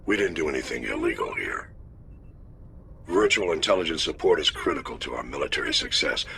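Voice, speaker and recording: An actor playing a gruff, commanding older admiral in a video game, giving a formal, direct mission briefing. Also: male voice, a bass voice, expressive, brisk, background noise, heavily acted. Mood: urgent, firm. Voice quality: gravelly.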